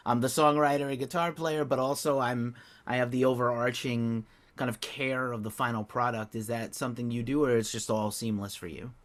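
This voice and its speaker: A man's voice: medium pitch, steady tone, fairly quick, hardly any pauses. A man hosting an interview, asking casual questions in an enthusiastic, loose way full of fillers. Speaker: interview host.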